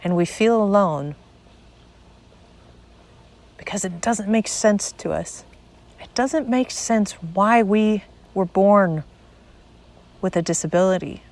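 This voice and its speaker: A woman narrating a spiritual guided meditation in a measured, deliberate way, pausing between short phrases. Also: mid-range voice, a little mellow.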